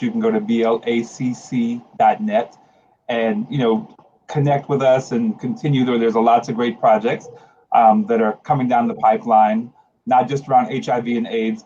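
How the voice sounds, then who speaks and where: A man's voice: medium pitch, dark tone. A man chatting casually as a panel guest, heard through a muffled, noisy call. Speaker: guest, project partner.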